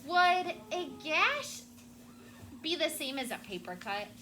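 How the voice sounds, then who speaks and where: Casting: female elementary teacher, higher-pitched, expressive read-aloud with simple explanations, noisy recording. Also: slow pace. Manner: expressive reading, child-friendly explaining. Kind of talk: read-aloud storytelling to children, with questions and vocabulary asides. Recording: loud background noise.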